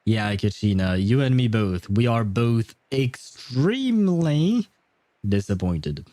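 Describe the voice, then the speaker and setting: Mellow, blunt male game streamer. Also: medium pitch, sing-song, slow pace. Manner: wry, blunt, profane. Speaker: livestreamer. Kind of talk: casual game stream commentary.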